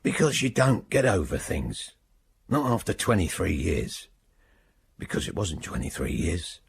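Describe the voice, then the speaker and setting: An actor playing a weary, bitter man of seventy in a radio drama, gravelly and husky, reflecting haltingly on his past. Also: low voice, very animated, no echo, clean studio sound, exaggerated voice. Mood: bitter grief. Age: 70s. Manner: slow, halting, reflective monologue.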